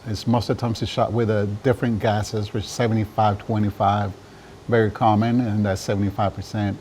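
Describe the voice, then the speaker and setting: A man from Mexico giving casual interview answers about his trade, often halting as he searches for words. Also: lowish voice, little pitch movement, darkish tone, slight background noise. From Juarez, Mexico. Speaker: interviewed draft beer system installer and business owner.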